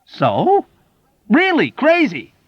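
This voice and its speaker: A man's voice: very high-pitched, wildly swooping pitch, drawn-out words, breathy edge, no echo, voice pushed up, heavily acted. A man voicing a whining, self-pitying male army doctor in a plaintive, complaining voice. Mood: indignant. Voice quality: whiny, plaintive. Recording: dull dry audiobook recording.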